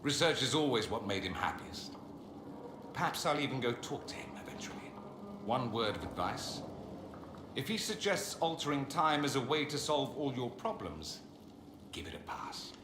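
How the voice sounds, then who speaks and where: An actor playing a witty, proud mage in a video game, speaking in a theatrical, sardonic and articulate manner. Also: male voice, mid-range voice, sing-song, moderate pace, close to an everyday voice. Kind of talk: dramatic video game dialogue. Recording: roomy echo, faint ambient noise.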